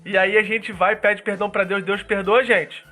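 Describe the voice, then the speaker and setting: Animated, slangy young-sounding man chatting. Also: high voice, moderate pace, soft background music. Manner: animated, slangy, acts out quoted voices. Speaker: podcast co-host.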